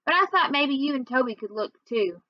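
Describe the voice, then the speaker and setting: A sad, folksy Southern woman from a children's book, as a woman reader puts her on. She sounds worried and wistful, with a highish voice. The sound is dry and a bit dull. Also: expressive, brisk, no echo. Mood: hopeful, wistful.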